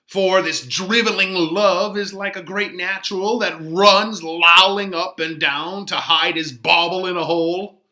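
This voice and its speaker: A man reading dramatically as witty, boisterous young gentlemen trading teasing, emphatic Shakespearean banter. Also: high-pitched, steady tone, unhurried, voice pushed up, exaggerated voice. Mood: teasing, exuberant.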